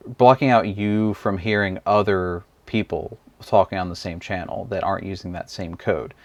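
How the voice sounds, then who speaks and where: A man's voice: sits low, dry recording. A man casually walking through a gadget's buttons and menus, explaining each feature as he handles it. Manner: relaxed, explanatory, talks while handling the device. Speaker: product reviewer. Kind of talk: casual hands-on gadget walkthrough.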